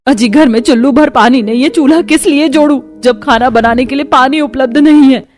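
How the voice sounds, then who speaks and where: A woman's voice: sits high, a little fast, a little mellow, soft background music, pitched above the performer's own voice, natural voice. A woman voicing a weary young village wife and mother in a plaintive, dramatic cartoon style. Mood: exasperated.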